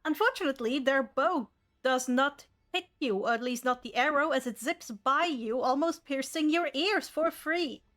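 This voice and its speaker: A woman's voice: high voice, moderate pace, hardly any pauses, fairly bright tone. A woman acting as game master, narrating and refereeing a tabletop role-playing game.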